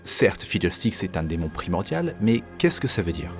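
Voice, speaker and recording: A man's voice: low-pitched, sing-song, normal speed, over music. A man giving explanatory commentary as a video game lore creator.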